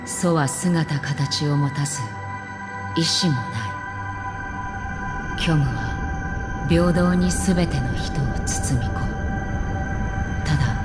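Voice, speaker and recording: A low-voiced melancholic swordswoman from a game speaks slowly, with grave detachment. Her measured, subdued words sit over loud music. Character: solemn, melancholic female wandering swordswoman. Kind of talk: Japanese game voice acting, slow and grave.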